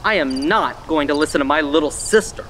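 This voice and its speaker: A man's voice: on the high side, brisk, bright, forceful tone, slight background noise. A man playing a bossy, defensive young older brother in a dramatic audio drama. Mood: angry, defensive. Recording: faint outdoor night ambience, crickets.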